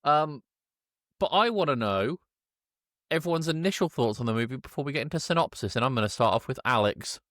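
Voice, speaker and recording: A man's voice: medium pitch, animated, fairly quick, fairly bright tone, no room sound, clean studio sound. A man hosting a podcast, chatting casually as he reviews films. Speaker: podcast host. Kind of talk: casual film review chat.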